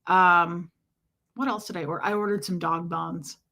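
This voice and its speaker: Female streamer, bright and forward tone, chatty and warm, lots of filler words. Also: on the low side, fairly even intonation, moderate pace. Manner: chatty and warm toward viewers, many fillers. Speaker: livestream host. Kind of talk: casual livestream chat, answering viewer comments.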